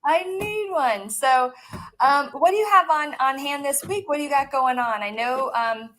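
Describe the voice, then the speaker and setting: A bubbly woman chatting casually as co-host, gushing and quick to laugh. Her voice sits a bit high. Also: fairly bright tone, slight background noise, low-quality recording. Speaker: co-host of a reseller chat show. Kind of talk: casual chat.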